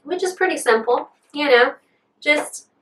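A woman's voice: sits high, unhurried, roomy sound, far from the mic. A woman in her twenties co-hosting a casual faith podcast, earnest and bubbly, laughing often. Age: 20s. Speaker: podcast co-host.

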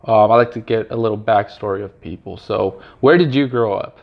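Gravelly-voiced host asking casual questions. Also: mid-range voice, fairly quick, highs cut off. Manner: friendly, curious questioner who laughs easily and uses many fillers. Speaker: podcast host. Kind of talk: casual interview chat.

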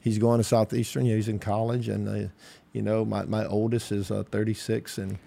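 A man in his late fifties, a pastor hosting a casual podcast chat, chiming in with steady "yeah"s and calling his guest "man". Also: fairly low pitch, a little fast, creaky. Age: 50s. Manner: warm affirming interjections, frequent "yeah" and "man".

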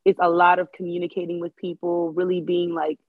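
A woman born in the Dominican Republic and raised in Maryland speaks earnestly on a podcast, pausing on fillers as she thinks. Her voice sits a little low. It comes through thin and tinny, like a phone line, with a dry, close sound. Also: flat delivery, no room sound. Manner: earnest, thoughtful, hesitant with fillers. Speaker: guest, Airbnb host. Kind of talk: casual podcast chat.

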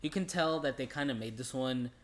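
A man's voice: mid-range voice, a little fast. A young man co-hosting a podcast, giving his take on films in a casual, slangy, laid-back way. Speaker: podcast co-host. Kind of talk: casual film review chat.

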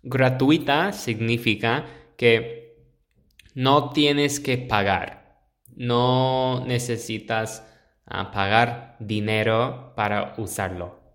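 Slow, hesitant young man teaching. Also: medium pitch, fairly bright tone. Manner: slow, hesitant, pauses to search for words. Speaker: podcast host. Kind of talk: solo podcast explaining to learners.

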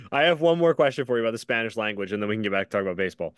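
Fast-talking bright podcast host. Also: male voice, fairly low pitch, lively intonation, clean tone, no echo, clean studio sound. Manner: chatty, laughs often, rambling anecdotes. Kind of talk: casual podcast chat.

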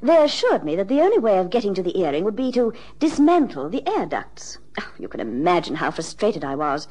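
A woman's voice: medium pitch, very animated, fairly quick, a little mellow. An actress playing a wealthy, frank British married lady of middle age in a radio drama, speaking in a refined, precise and insistent way. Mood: frank, exasperated.

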